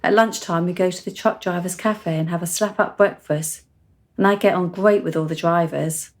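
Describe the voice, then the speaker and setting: A woman's voice: fairly low pitch, fairly even intonation, normal speed, natural voice. An actress playing a frank, cheerful, precociously mature seventeen-year-old country girl in a radio play, articulate and chatty. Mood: cheerful, enthusiastic. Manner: articulate, matter-of-fact, chatty.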